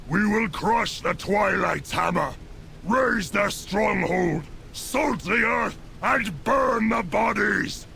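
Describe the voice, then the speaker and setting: Super gravelly orc warlord, proud n threatening, big swings in pitch, game cutscene. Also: male voice, bright, forceful tone, a little hiss or hum, exaggerated voice. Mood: rousing fury. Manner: commanding, contemptuous, threatening. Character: proud, belligerent male orc war chief. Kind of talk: video game cinematic acting.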